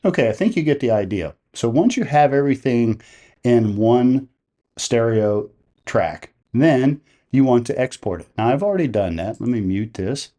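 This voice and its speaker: A man presenting a software tutorial, explaining each step in a methodical, plain-spoken way. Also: medium pitch, expressive, moderate pace.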